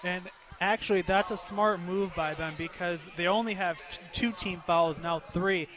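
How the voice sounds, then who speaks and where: A man's voice: high voice, moderate pace. A man working as a color commentator on live radio, talking through game strategy in a conversational way. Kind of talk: live radio sports analysis.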